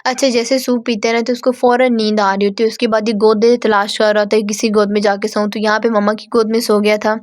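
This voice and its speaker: A woman's voice: mid-range voice, little pitch movement, fast, hardly any pauses. A woman narrating a casual home vlog in a chatty, affectionate way, often talking to her cats. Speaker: vlogger.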